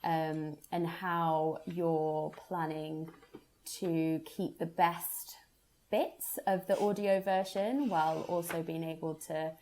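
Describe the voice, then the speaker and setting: A woman's voice: lowish voice, dark tone. A woman leading a casual interview as a producer, phrasing her questions in a tentative, meandering way. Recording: noticeable background noise.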